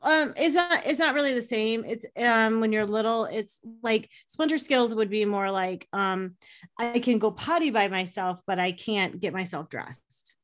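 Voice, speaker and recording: A woman explains child development in a relaxed, easygoing way. She fills her explanations with pauses and simple examples. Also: a little high. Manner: relaxed, explanatory, many fillers. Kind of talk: casual conversation, explaining.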